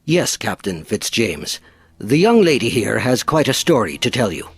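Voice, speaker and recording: An actor playing a stern but fair-minded naval captain in a period audio drama, formal and authoritative. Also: male voice, mid-range voice, very animated, moderate pace, breathy edge. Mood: courteous, grave.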